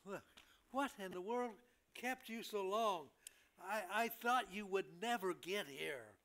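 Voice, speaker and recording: A man's voice: high-pitched, slow pace, a bit bright, full character voice. An older man playing a tired, complaining hospital patient in a staged comedy reading, hesitant and plaintive. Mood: exasperated.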